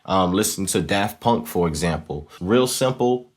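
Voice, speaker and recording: A man's voice: low-pitched, lively intonation, measured pace. A man hosting a music tutorial in an informal, conversational way, often checking in with the listener as he explains. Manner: conversational, often checks in with "right?" and "you know". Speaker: host of a music tutorial series, musician and singer.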